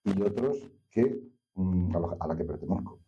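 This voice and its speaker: A man's voice: sits low, animated, normal speed, mellow, slightly rough. A middle-aged or older man from Castilla-La Mancha, with an accent from Spain, talking reflectively and hesitantly as an interview guest. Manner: hesitant, thoughtful, digressive. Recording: slightly dull, lo-fi sound.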